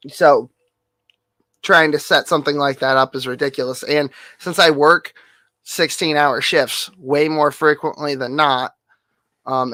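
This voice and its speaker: A man streamer with a nasal, hoarse voice, rambling through a casual update full of fillers and offhand swearing. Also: medium pitch, pushed and bright, dry recording. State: hoarse, losing voice.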